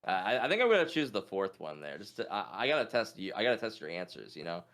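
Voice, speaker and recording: A man's voice: on the low side, wildly swooping pitch, quick pace, a bit bright. A man chatting casually as a quiz contestant, thinking aloud and hesitantly reasoning through his guesses.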